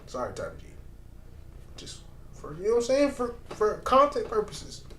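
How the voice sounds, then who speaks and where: A man with a fairly high voice chats through a reaction video in a loose, slangy way. He is lively and repeats points for emphasis. The sound is roomy and echoey. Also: normal speed. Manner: animated, slangy, repeats himself. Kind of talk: casual reaction commentary.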